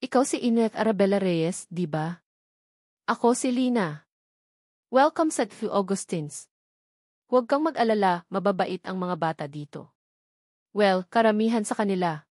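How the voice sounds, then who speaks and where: Storybook colleague: friendly, conspiratorial female teacher, medium pitch , performed by a woman narrator. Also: animated, normal speed, long pauses, a little mellow, no echo, studio quality, lightly acted. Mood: welcoming.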